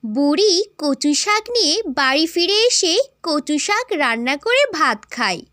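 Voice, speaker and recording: A woman's voice: high voice, very animated, measured pace, bright, forceful tone, no room sound, clean studio sound. A woman giving scripted storybook narration for a children's folk tale.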